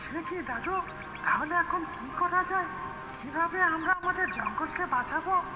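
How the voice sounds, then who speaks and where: A woman's voice: very high-pitched, normal speed. A woman voicing a small, earnest jungle bird in a cartoon, speaking in an anxious, pleading way. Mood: worried, pleading. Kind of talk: cartoon animal voice acting. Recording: echoing reverb under loud music.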